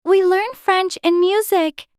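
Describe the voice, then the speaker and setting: A storybook narrator voicing a curious, polite little girl who is eager, prim and quick to take offence. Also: very high-pitched, steady tone, no room sound, studio quality, pitched above the performer's own voice. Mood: earnest.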